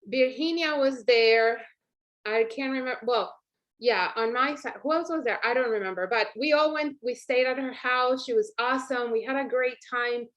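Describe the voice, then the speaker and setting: A woman with a fairly high voice talks informally to her team as the leader of the call. She is chatty and encouraging, speaking in a conversational motivational style. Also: moderate pace, fairly bright tone, highs cut off.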